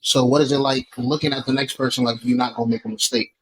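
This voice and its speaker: Podcast guest from Florida, husky mid-pitched voice, thoughtful and candid. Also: male voice, little pitch movement, fast talker, rough recording. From Florida, USA. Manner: reflective, candid, casual cursing. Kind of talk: casual podcast chat.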